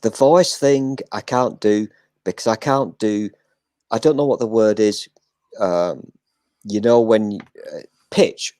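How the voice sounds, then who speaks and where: An older man hosting a casual live chat, talking in a flat, self-deprecating way and swearing now and then. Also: lowish voice, slow pace, pauses often, no room sound, clean studio sound.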